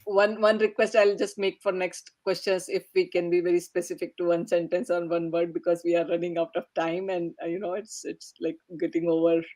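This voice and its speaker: A woman hosts a panel discussion as its moderator. Her voice sits slightly low for a woman. She moves from guest to guest with encouraging, affirming remarks. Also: little pitch movement, a little fast, hardly any pauses, a little mellow, poor audio. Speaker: podcast host.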